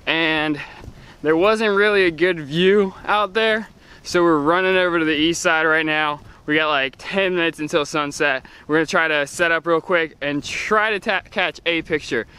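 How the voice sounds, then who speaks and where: A young man vlogging casually to a handheld camera as he hikes a wooded mountain trail, relaxed and upbeat. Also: fairly high pitch, normal speed, clear, resonant tone. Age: young adult. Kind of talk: casual vlog narration while hiking. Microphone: handheld camera at arm's length.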